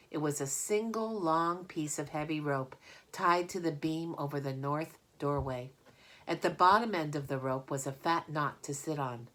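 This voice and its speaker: A woman narrating a children's storybook aloud as a reader. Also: sits low. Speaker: audiobook reader.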